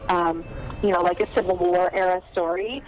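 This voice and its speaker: A woman's voice: fairly low pitch, steady tone, normal speed, a little hiss or hum, poor audio. A woman, a guest author chatting casually with many fillers. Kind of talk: casual chat.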